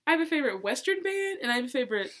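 A woman's voice: sits high, sing-song, racing, fairly bright tone. An eighteen-year-old girl from Georgia in the United States, co-hosting a casual podcast and talking with lots of hesitant fillers. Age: teens. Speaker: podcast co-host. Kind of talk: casual chat.

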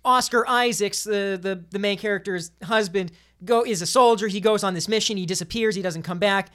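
A woman's voice: medium pitch, a little fast, fairly bright tone. A woman on a podcast panel casually discussing films in an emphatic, opinionated way.

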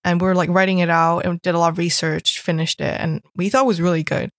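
Young woman from hong kong, lowish voice, rambly chatty storytelling, lots of "like". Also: level tone, quick pace, fairly bright tone, dry recording, clean studio sound. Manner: chatty storytelling, heavy use of "like". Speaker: guest recalling school experiences. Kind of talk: casual chat.

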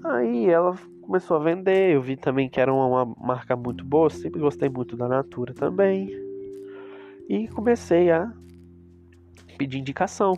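A man's voice: a little high, animated, normal speed, creaky, a little mellow, dull audio. A Brazilian man talks to his viewers in a loose, rambling way, full of asides. His nose is stuffed up from a cold. Guitar music plays behind him. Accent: Brazilian Portuguese. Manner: chatty, rambling, with asides to the audience. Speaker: cosmetics reseller vlogger. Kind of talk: casual talk to viewers. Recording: music playing in the background.

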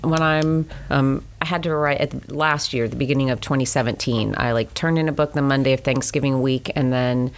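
A woman with a strikingly low voice talks casually about her writing life. She rambles warmly through fillers and false starts, the way people do on an easygoing interview show. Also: fairly even intonation, a little fast, hardly any pauses. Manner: chatty, many fillers and restarts, laughs often. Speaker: romance author guest.

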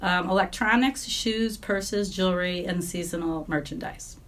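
A woman hosting a podcast, slightly hoarse from laryngitis, reading an upbeat intro and then asking her guest questions. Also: fairly low pitch, moderate pace, fairly bright tone. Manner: upbeat, enthusiastic. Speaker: podcast host.

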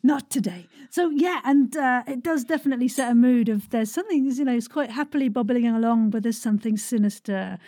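A woman's voice: fairly high pitch, a little fast, few pauses, warm tone, no room sound, clean studio sound. A woman hosting a podcast in a playful, effusive, chatty way. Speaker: podcast host.

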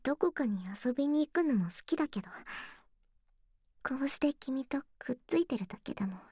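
A woman's voice: sits high, expressive, a little fast, no room sound. A woman playing a bashful, secretly clingy tsundere young girlfriend in an intimate ASMR voice drama, flustered and pouty, close to the microphone. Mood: bashful, affectionate. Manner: flustered, stammering, pouty, softening into breathy murmurs. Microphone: close binaural microphone, dry studio sound.

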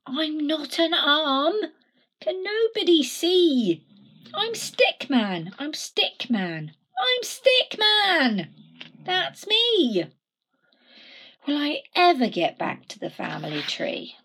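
A woman's voice: high-pitched, big pitch swings, takes it slowly, a bit bright, pitched above the performer's own voice, heavily acted. A woman voicing a small, proud male stick figure in a storybook, with a sing-song, emphatic delivery. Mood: insistent.

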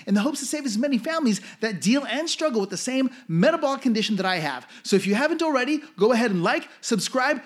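A man hosting a health podcast, talking casually and upbeat with lots of fillers like "like" and "you know". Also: extremely high pitch. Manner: upbeat, filler-heavy, salesy. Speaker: podcast host. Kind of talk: casual interview and promo.

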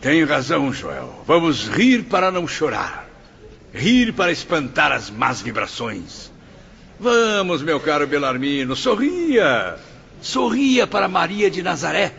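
Man acting creaky, theatrical nobleman. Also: a little high, very animated, a little slow, a bit bright, full character voice. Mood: uplifted. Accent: Brazilian. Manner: theatrical, florid. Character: eloquent nobleman, repentant patient in a spirit hospital. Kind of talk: radio drama acting. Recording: dull lo-fi radio recording, faint noise.

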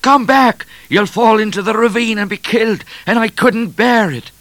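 A man voicing a hot-headed but sentimental police trooper in a storybook, calling out loudly and emphatically. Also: high voice, normal speed, no echo, voice pushed up. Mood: anguished, pleading.

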